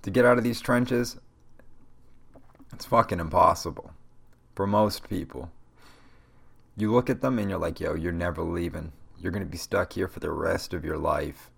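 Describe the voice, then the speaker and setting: Young guy w a deep gravelly voice, stuffed up from a cold, cussing and rambling motivational stuff. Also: moderate pace, pauses often. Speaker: podcast host. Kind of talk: casual solo podcast rant.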